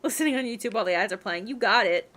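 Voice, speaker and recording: A woman's voice: mid-range voice, animated, very fast, fairly bright tone, a little hiss or hum. A woman livestreaming a game, chatting and rambling casually and reading things aloud as she plays. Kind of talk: casual stream chat and game commentary.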